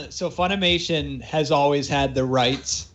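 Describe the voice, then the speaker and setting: A man's voice: a little high, unhurried, fairly bright tone, muffled recording. A man from Canada, a voice actor co-hosting a podcast, chatting casually and explaining at length. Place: Vancouver.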